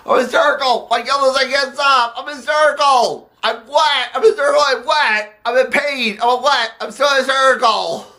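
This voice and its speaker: Nervous male accountant, high-pitched, sharp, panicky, repetitive, comedy drama. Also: fast talker. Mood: hysterical.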